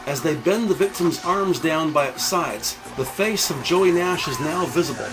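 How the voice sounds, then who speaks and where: Higher-pitched male audio drama narrator. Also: animated, normal speed, over music. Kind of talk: scripted present-tense audio drama narration.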